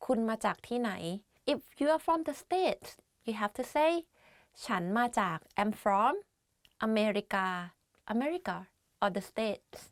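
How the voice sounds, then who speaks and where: A woman's voice: a little high, lively intonation, quick pace, no room sound, studio quality. A woman with a Thai accent, teaching beginner phrases in a chatty way, often saying "like" and laughing. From Thailand. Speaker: language teacher. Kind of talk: casual language lesson.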